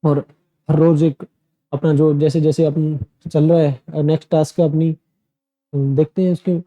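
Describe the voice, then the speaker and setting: A man's voice: a little high, flat delivery, a little slow, mellow, muffled recording. A man giving a casual spoken coaching lesson, chatty and repeating his points with loose restarts. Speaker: PTE and IELTS coaching teacher. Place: indoor teaching room.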